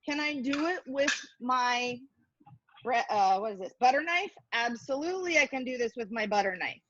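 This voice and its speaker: A woman's voice: on the high side, expressive, moderate pace, lo-fi sound, background noise. A woman giving a casual hands-on cooking demonstration, chatty, playful and self-deprecating as she explains each step. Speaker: cooking instructor.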